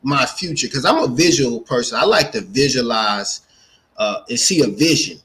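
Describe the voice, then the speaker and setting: A man's voice: mid-range voice, expressive, moderate pace, a bit bright. A man of forty-nine with an American accent, telling his story casually as an interview guest and repeating phrases for emphasis. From Gary, Indiana, United States. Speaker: guest, entrepreneur and speaker.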